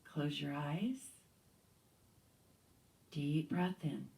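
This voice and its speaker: A soft-spoken woman leading a gentle yoga practice, guiding breaths calmly and sometimes dropping to a whisper. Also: deep voice, vocal fry, far from the mic, low-quality recording. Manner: slow, calm guiding with whispered cues. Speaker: yoga teacher. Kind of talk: guided yoga instruction. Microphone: handheld or clip mic.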